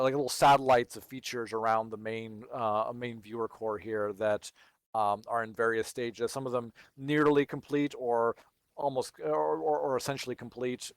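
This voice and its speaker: A man hosting a casual livestream, reading questions from chat and answering as he goes. His voice is smooth and clear, recorded close with no room around it. He grew up in Texas but carries no regional accent. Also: medium pitch, no room sound. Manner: thinks aloud, reading and answering viewer questions. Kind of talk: casual live chat with viewers.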